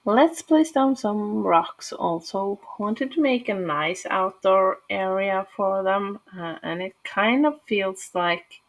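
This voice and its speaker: A woman's voice: medium pitch, slow pace, few pauses, low-quality recording. A woman from Norway with a Norwegian accent, talking casually and hesitantly through a game build with many fillers. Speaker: gaming channel host.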